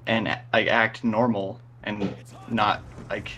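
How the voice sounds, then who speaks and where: A nineteen-year-old man chatting casually as a guest on a gaming show, halting as he searches for words. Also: fairly low pitch, little pitch movement, bright, forceful tone, muffled recording, faint music behind. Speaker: guest on a gaming video series. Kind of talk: casual chat over a call.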